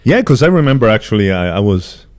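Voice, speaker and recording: A man hosting a casual podcast interview, asking questions in a halting, chatty way. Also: lowish voice, sing-song. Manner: halting and chatty, with frequent restarts and drawn-out phrasing. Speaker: podcast host.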